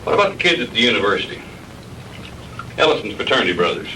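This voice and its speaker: An actor playing a businesslike police lieutenant in a 1960s B-movie. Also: male voice, lowish voice, big pitch swings, fast. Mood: brisk, questioning. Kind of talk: 1960s B-movie cop dialogue. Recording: noisy 1960s film soundtrack.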